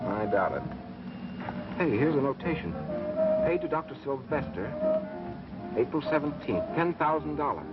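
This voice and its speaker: An actor playing a brisk, keen young American amateur sleuth in 1930s film melodrama style. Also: male voice, a little high, sing-song. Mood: intrigued. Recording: dull scratchy 1930s film soundtrack, music.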